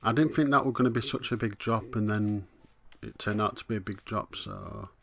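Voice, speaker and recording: Hoarse low-voiced man narrating gameplay. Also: normal speed, fairly bright tone. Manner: muttering to himself, easygoing, frequent "right". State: hoarse, coughing. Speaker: let's play host. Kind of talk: casual gameplay commentary.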